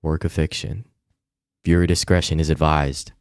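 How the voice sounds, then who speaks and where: Smooth, low-voiced man livestreaming casually. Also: flat delivery, moderate pace, darkish tone. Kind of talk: casual livestream chat, reading game text aloud. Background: music.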